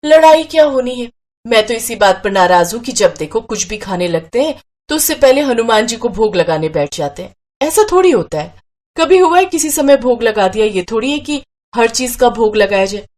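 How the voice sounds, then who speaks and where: A woman's voice: fairly high pitch, big pitch swings, normal speed. A woman voicing a stubborn, exasperated wife who scolds and complains in a moral story. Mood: complaining. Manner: sharp complaining. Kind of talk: Hindi moral story acting.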